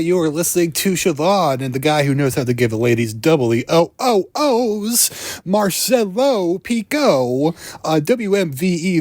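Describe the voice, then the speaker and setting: A man's voice: medium pitch, a little mellow, no room sound. A man doing a cheesy morning radio DJ. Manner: hammy announcer patter with drawn-out words.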